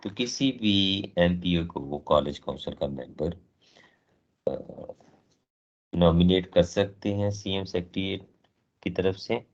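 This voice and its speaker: A man lectures in a very low, deep voice. He speaks slowly and stops often to think. His throat is sore, and the dull, lo-fi recording takes the shine off his voice. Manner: measured explanatory delivery, mixing in English phrases, frequent "uh" pauses. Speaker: lecturer at a teacher training.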